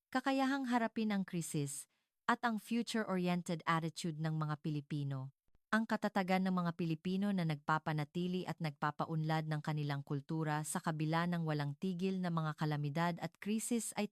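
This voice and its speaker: A woman reading a scripted dramatic narration in a measured, earnest storytelling manner. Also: on the low side, clear, resonant tone, no room sound, clean studio sound.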